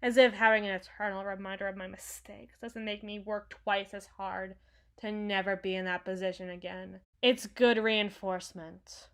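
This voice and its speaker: A woman's voice: medium pitch, steady tone, moderate pace, lightly acted. A woman playing a brash, sarcastic, protective villain's henchwoman and field medic in an intimate, dramatic audio roleplay. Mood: muttering, clinical. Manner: sardonic, dramatic, swings from hushed muttering to outbursts.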